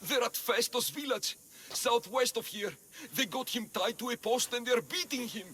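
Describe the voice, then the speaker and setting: A man's voice: very high voice, moderate pace, pushed and bright, a little hiss or hum. A man playing a frightened, evasive thug passing himself off as someone else in a video game. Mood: terrified. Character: frightened, evasive male thug posing as caretaker. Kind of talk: video game cutscene acting.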